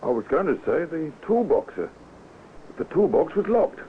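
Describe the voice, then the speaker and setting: An actor playing a deferential village police constable in an old-time radio drama, on a scratchy, tinny recording. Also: male voice, a little high, big pitch swings, moderate pace. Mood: careful, deferential.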